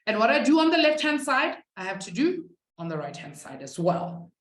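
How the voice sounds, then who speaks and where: A woman's voice: a little high, sing-song, moderate pace, roomy sound. A young woman who is not a native English speaker, teaching a live online maths class from a webcam and chatting with students. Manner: chatty, reads out student chat, laughs at herself.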